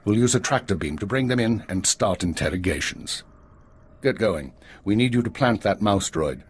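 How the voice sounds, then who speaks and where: A male actor playing a commanding, weary middle-aged intelligence chief in a video game, husky and brisk. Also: on the low side, animated, a little hiss or hum, lightly acted. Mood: stern, businesslike. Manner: brisk, authoritative.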